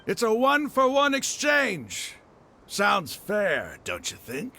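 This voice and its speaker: A zealot bandit boss for a game, sneery, bright forceful voice, bit gravelly. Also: male voice, high-pitched, huge pitch swings, slow, slight background noise, full character voice. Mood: smug, demanding. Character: scheming desert gang leader, zealous and haughty man. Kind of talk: video game dramatic acting.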